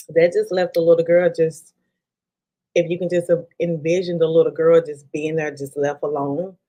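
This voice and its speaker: A woman in her forties from Dallas, Texas, with a Texan accent, speaking as a podcast guest in a halting way with many ums and restarts. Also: low voice, a little mellow. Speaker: podcast guest, life coach. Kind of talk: conversational interview.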